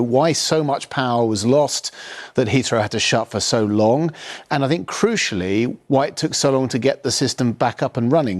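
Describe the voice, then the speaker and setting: A man explaining a story as a radio news correspondent. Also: medium pitch.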